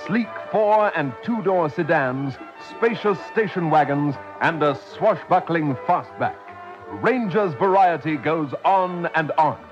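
A man's voice: on the high side, slow. A man narrating a vintage radio car commercial as an announcer. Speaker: advert announcer. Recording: dull old radio recording. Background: music.

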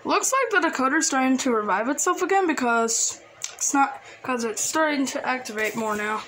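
A woman casually showing off a hobby collection, halting and trailing off, recorded on a handheld phone indoors. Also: high-pitched, lively intonation, a bit bright. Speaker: video host showing a personal radio collection. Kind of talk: casual hobby show-and-tell. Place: small cluttered room indoors. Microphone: handheld phone camera. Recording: clicky, noisy handheld home recording.

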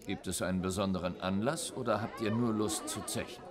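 An actor playing a stoic, dry-witted monster hunter for hire in a video game, terse and deadpan. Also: male voice, fairly low pitch, brisk, a crowd in the background, close to an everyday voice. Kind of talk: understated video game acting.

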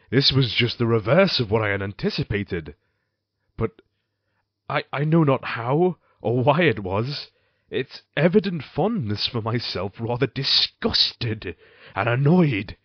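Husky, theatrical, brooding gothic man, acted by a man. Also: mid-range voice, no echo, dull audio. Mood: bitter loathing. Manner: formal, theatrical, deliberate phrasing. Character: brooding, cruel married man haunted by guilt. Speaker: voice actor narrating in character. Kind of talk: dramatic gothic audio drama monologue.

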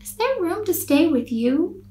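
A woman voicing a tired, lonely female dragon in a storybook, speaking softly and wearily. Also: very high-pitched, very animated, measured pace, reverberant, far from the mic, a little hiss or hum, voice pushed up, exaggerated voice. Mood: weary. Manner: soft, hushed, weary.

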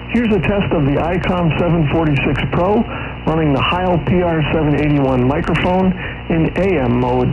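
Man, American, radio test announcement, muffled transmission, noisy background, somewhat high pitch. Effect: transmitted over shortwave radio. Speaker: radio operator. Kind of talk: microphone test announcement, counting and call sign.

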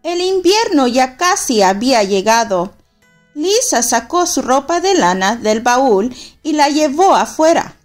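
A woman reading a children's picture book aloud in a slow, expressive storytelling manner. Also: high voice, soft background music. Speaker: storybook reader.

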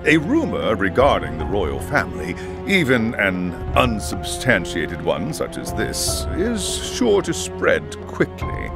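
An actor playing a stern older academy headmaster in a video game, speaking formally and with measured theatrical weight. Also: male voice, deep voice, wildly swooping pitch, full character voice. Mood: measured, concerned. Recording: orchestral game music behind the voice. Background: background music.

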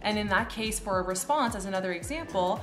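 A woman's voice: medium pitch, animated, a little fast, over music. A woman in her thirties with a Canadian accent, explaining things to camera in a chatty, informal teaching style. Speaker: pilot and video host. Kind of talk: casual educational explainer to camera. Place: small furnished room indoors. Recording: roomy, echoey indoor sound.

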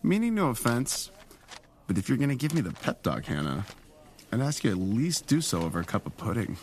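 An actor playing a wry, frustrated war-veteran patient in a radio drama, with a dry way of talking. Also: male voice, mid-range voice, very animated, brisk, a little hiss or hum. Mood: wry, downcast.

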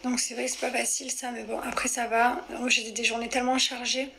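A woman talks to her followers in a loose, rambling way, speaking right at the viewer. Her voice sits a little high. She records on a phone held at arm's length in a small indoor room, with a faint hiss behind her. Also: little pitch movement, fast talker, vocal fry, a bit bright. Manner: chatty, rambling, addresses viewers directly. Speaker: lifestyle influencer. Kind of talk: casual selfie chat to followers.

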